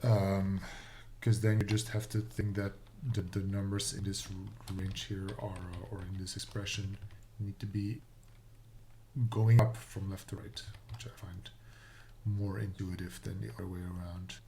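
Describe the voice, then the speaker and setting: Slow, halting man thinking aloud. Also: low voice, level tone, noisy background. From Netherlands or Dutch-speaking Low Countries. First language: Dutch. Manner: halting, musing, trailing off mid-thought. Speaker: programmer live-streaming a coding session. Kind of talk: thinking aloud while coding. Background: computer keyboard typing and clicks.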